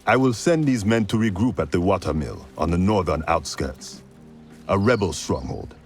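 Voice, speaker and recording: A stubborn, commanding rebel leader for a game cutscene. His voice is fairly low with very lively intonation. He talks bluntly, with bold, sardonic wit. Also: moderate pace, soft background music. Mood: determined. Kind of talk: video game cutscene drama.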